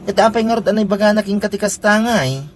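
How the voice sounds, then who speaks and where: An actress with an Ilocano accent playing a gossipy, skeptical, sharp-tongued woman in a comedy radio drama. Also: mid-range voice, lively intonation, fast, natural voice.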